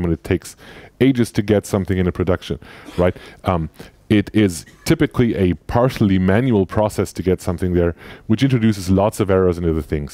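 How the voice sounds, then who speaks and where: A man's voice: deep voice, dark tone. A man in his forties giving a conversational conference talk on a headset mic, often tagging sentences with "right?". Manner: conversational, frequent "right?" tag questions; gestures while walking the stage. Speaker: conference speaker. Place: lecture room with projector.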